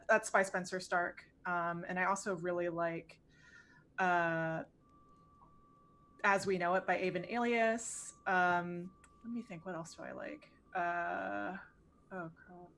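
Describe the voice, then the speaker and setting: A woman's voice: lowish voice, fairly even intonation, a little slow, fairly bright tone. A woman in her thirties, a game designer chatting as an interview guest, hesitant and full of fillers. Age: 30s. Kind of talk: casual interview chat.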